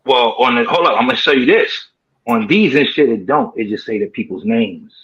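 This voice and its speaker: A man's voice: medium pitch, animated, a little fast, fairly bright tone, husky, muffled recording. A man with a New York accent defending his claims in a heated group debate, insistent and repeating himself. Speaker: debate guest.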